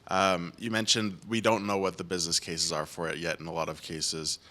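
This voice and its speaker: Deep, bright-toned man asking a question. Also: level tone. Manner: measured, with "um" pauses. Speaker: audience member asking a question.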